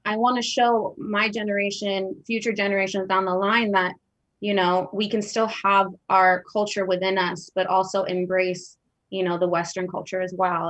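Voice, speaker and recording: A woman's voice: mid-range voice, fairly bright tone. A woman with a Persian accent, a guest singer on a livestream, chatting in a bubbly, gushing way over a video call. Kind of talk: casual livestream chat.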